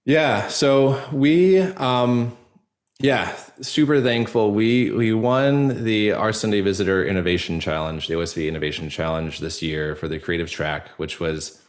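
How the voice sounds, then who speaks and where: A man speaking as a guest in a casual interview, earnest and halting, often repeating words and leaning on fillers. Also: on the low side. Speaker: podcast guest, actor and storyteller.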